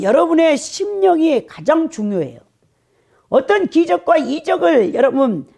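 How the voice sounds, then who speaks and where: Animated Jeolla-accented woman pastor preaching. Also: sits high, vocal fry. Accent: Jeolla Korean. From Jeolla, South Korea. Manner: emphatic preaching, keeps addressing the congregation. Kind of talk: sermon.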